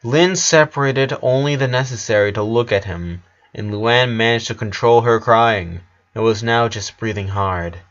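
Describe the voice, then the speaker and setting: A man's voice: mid-range voice, normal speed, fairly bright tone. A man reading a story aloud as scripted narration, in the role of narrator. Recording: dull lo-fi recording.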